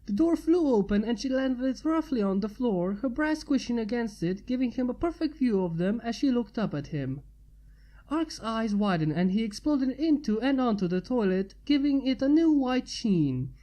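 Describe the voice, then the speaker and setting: A woman's voice: a little high, animated, moderate pace, mellow, low-quality recording. A woman reading a scripted story as its narrator. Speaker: fan fiction narrator.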